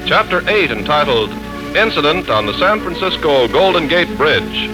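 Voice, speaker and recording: Animated American radio announcer man. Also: on the high side, moderate pace, music in the background, low-quality recording. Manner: polished, persuasive, emphatic. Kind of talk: 1940s radio commercial announcing. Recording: old 1940s radio broadcast recording.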